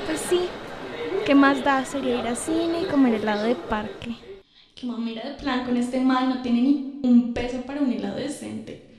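A woman's voice: sits high, moderate pace, darkish tone, lightly acted. A woman playing a spoiled, posh, snobbish young woman in a radio soap, with a whiny manner and a Colombian accent. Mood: sulky. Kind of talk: radio soap opera acting. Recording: crowd chatter behind the voice.

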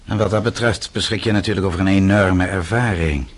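An actor playing a bitter, defiant middle-aged exile in a radio play, sardonic and accusing. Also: male voice, low-pitched, a little fast, breathy edge. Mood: scornful, defiant. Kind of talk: Dutch radio play acting.

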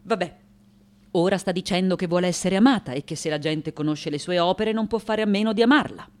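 An exasperated Italian woman interpreter in a radio drama. She rattles along quickly in an Italian accent, grumbling and dropping weary asides under her breath. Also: fairly low pitch, sing-song. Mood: weary, dismissive. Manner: hurried, muttering, weary asides.

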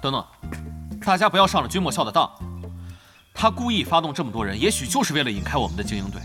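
A man's voice: mid-range voice, big pitch swings, quick pace, lightly acted. A man playing an earnest, wary guild strategist in a Mandarin audio drama, businesslike and persuasive. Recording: music bed under the dialogue.

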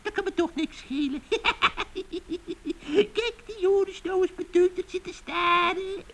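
A cackling, scheming witch from a vintage children's radio play. Her voice is shrill and very high, and she talks slowly and with relish, taunting everyone with cooing nicknames. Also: fairly even intonation, slight background noise. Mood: mocking. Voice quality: cackling, gloating. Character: gloating scheming witch, female, adult.

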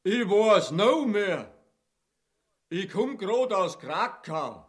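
A man with an Austrian-Bavarian accent playing an excitable villager bearing dire news in a radio play. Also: high-pitched, very slow, exaggerated voice. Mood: alarmed gossip.

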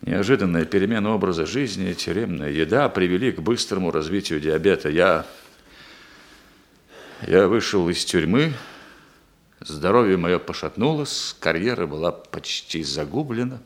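A man's voice: sits low, animated, moderate pace, reverberant. An actor in a radio play voicing an embittered, lovesick murderer, a man speaking with dramatic, anguished intensity. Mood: bitter, broken. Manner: dramatic, impassioned, anguished delivery. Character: embittered male actor, obsessive lovesick murderer confessing his revenge. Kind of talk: theatrical radio play monologue.

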